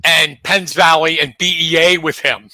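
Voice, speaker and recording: Male co-host, slightly high voice, easygoing sports chat, dry close mic. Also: unhurried, no room sound. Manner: leads the rundown of teams, relaxed and chatty. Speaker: co-host of a local sports show. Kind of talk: casual sports talk.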